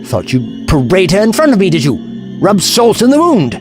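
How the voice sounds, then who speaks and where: A man's voice: high voice, wildly swooping pitch, moderate pace. A man playing an eccentric, witty time-travelling Doctor in an audio drama, wry, theatrical and articulate. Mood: outraged. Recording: music behind.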